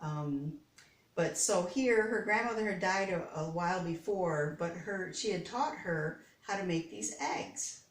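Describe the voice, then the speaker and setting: A woman reading a children's story aloud, pausing to explain words and pictures as she goes. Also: fairly low pitch. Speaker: storybook reader. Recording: echoey room, distant microphone.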